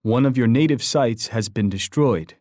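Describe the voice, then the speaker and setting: An actor playing a proud, warlike chief in a video game, speaking in solemn, formal, poetic oratory. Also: male voice, medium pitch, normal speed, a little mellow, dry recording, clean studio sound, natural voice. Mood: menacing, scornful. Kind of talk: scripted video game character voice lines.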